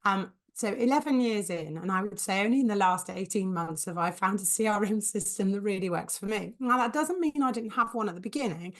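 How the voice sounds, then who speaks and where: A woman co-hosting a business podcast, hoarse and husky from a cold, talking enthusiastically with her co-host. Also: medium pitch, brisk, hardly any pauses. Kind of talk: conversational podcast chat.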